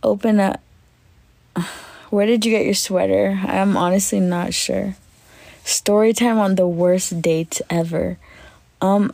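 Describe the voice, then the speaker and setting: A woman in her twenties chatting casually on a livestream, lying in bed and answering comments with lots of fillers, in a voice she calls deep. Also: creaky, warm tone, no room sound. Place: bedroom, lying on a bed indoors. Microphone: phone at arm's length.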